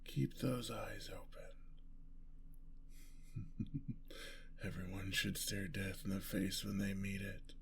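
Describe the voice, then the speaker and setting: Man acting a slow, menacing bloodthirsty vampire. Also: low-pitched, fairly even intonation, a little hiss or hum, pitched below the performer's own voice, exaggerated voice. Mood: hungry, seductive. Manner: slow, savouring, breathy menace. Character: bloodthirsty male vampire, menacing, seductive, theatrical. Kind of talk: ASMR fantasy roleplay.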